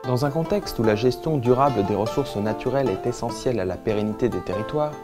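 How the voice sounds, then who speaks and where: A man reading a formal, measured documentary voice-over. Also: mid-range voice, music in the background. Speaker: narrator. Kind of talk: scripted narration.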